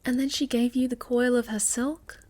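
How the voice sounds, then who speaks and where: A woman playing a sweet, shy, gentle moth-girl monster in a soft, halting ASMR roleplay, often slipping toward a whisper. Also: on the high side, fairly even intonation, lightly acted. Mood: hesitant, wondering.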